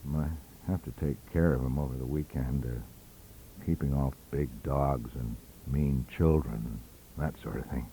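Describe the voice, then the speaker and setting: A man's voice: rumbling low, fairly even intonation, measured pace, warm tone, a little hiss or hum, pitched below the performer's own voice, low-quality recording. A man voicing a diffident, apologetic senior scientist who hesitates politely, in a story reading. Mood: embarrassed. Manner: hesitant, mumbling with ers. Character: distinguished, diffident male medical scientist, apologetic.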